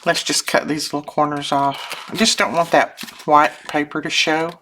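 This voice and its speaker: An older woman hosting a crafting channel, chatting casually and homely while she works, her voice lowered by sinus trouble. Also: level tone, normal speed, noisy background. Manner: chatty, homey, self-interrupting, chuckles often. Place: home craft desk.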